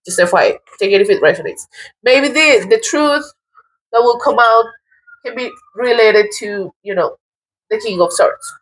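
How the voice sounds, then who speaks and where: A woman from Colombia with a Colombian accent, giving a casual tarot reading in a halting, thoughtful way, often restarting her words. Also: a little high, rough recording. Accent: Colombian Spanish. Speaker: tarot reader.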